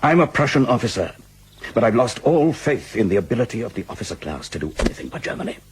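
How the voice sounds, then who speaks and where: An actor playing a gruff but courteous older Prussian field marshal in a radio drama, clipped and formal. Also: male voice, medium pitch, very animated, fast. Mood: frank, weary.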